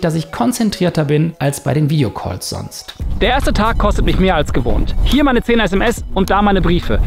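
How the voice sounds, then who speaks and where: Lively man narrating a vlog. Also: high voice. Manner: lively, wry self-experiment narration. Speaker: YouTuber and screenwriter.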